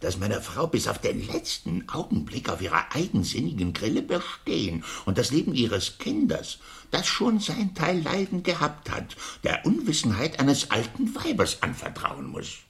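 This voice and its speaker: An actor playing an exasperated, argumentative master of the house in a period radio play, grumbling and declamatory. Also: male voice, medium pitch, huge pitch swings, unhurried, gravelly, heavily acted.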